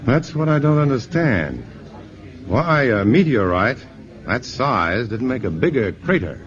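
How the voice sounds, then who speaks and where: An actor playing a genial, modest scientist in a 1950s radio drama, easygoing and understated in manner. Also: male voice, mid-range voice, big pitch swings, measured pace. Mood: curious. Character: genial, modest scientist, top nuclear physicist. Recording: dull, noisy 1955 radio broadcast.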